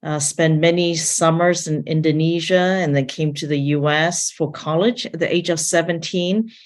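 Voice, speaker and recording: A woman from Taiwan who grew up in several countries, a missions leader sharing her faith story earnestly and haltingly as an interview guest. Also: low-pitched, fairly even intonation. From born in Taiwan, grew up in several countries. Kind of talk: personal testimony in an interview.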